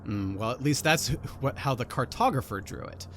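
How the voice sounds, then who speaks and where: A man working as game master, narrating a tabletop roleplaying game in a halting, deliberate way that leans on key words. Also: mid-range voice, expressive, a bit bright, slight background noise. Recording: music behind the voice. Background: background music.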